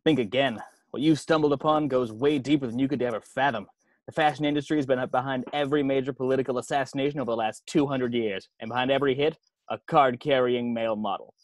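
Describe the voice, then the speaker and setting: A man's voice: mid-range voice, fairly even intonation, fast, no echo, close to an everyday voice. A man playing a cocky, secretive former hand model with a dramatic, knowing, slangy delivery in an amateur comedy script read. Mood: grave, secretive. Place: indoors at home on a video call. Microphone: video call.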